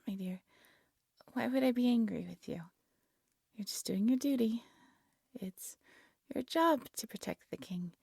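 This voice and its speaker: A woman playing a devoted, lovesick exiled alchemist in an intimate fantasy audio roleplay, tender and soft-spoken, often dropping into a whisper. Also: fairly high pitch, vocal fry, breathy edge, no echo, studio quality, heavily acted. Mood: flustered, then quietly bitter. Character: devoted, lovesick exiled alchemist practising dark magic, gentle.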